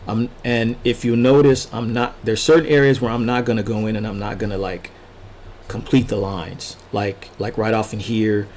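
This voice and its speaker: A man casually narrating a drawing tutorial as he sketches, thinking aloud in short unhurried fragments, his voice a little worn from talking. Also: fairly low pitch, little pitch movement, a little mellow, a little hiss or hum. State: losing his voice, throat-clearing. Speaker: art tutorial host, illustrator.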